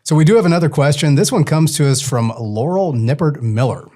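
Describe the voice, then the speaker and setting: A man's voice: a little high, expressive, brisk, dark tone. A man hosting a casual interview podcast. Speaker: podcast host.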